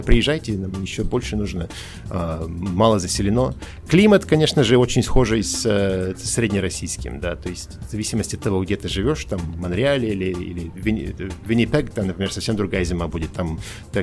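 A man in his forties from Russia, a podcast guest talking casually over a call, thoughtful and halting with many fillers and restarts. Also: fairly low pitch, darkish tone. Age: 40s.

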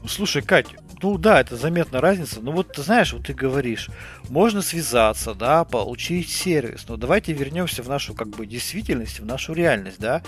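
A man's voice: medium pitch, animated, brisk, soft background music. A man hosting a podcast, putting questions to his guest in an informal, conversational way. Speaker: podcast host. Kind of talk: casual interview questioning.

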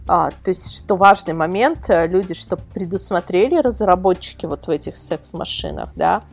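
A woman's voice: fairly low pitch, big pitch swings, moderate pace, gravelly. Woman guest chatting emphatically, music behind. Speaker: guest, product reviewer and website editor. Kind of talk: casual podcast discussion.

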